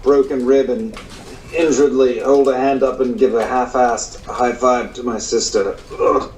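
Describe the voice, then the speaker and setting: A man's voice: medium pitch, steady tone, unhurried, a little mellow, slight background noise. A man taking part in a tabletop game, talking casually as he describes his moves. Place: echoey room, away from the mic. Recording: echoey room, off-mic, music behind.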